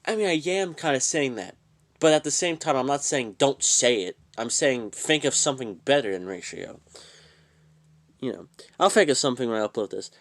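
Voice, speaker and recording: A man's voice: medium pitch, expressive, normal speed. A young man ranting casually to camera, rambling, swearing and trailing off into mumbles. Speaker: channel host. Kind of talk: casual reaction rant.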